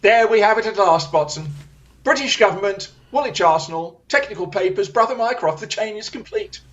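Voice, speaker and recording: Casting: performer as incisive gentleman detective, lightish voice, very animated intonation, theatrical radio mystery, dull-sounding microphone. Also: medium pitch, a little fast, natural voice. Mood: excited, brisk. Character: keen, brisk, incisive male detective. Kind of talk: radio drama acting.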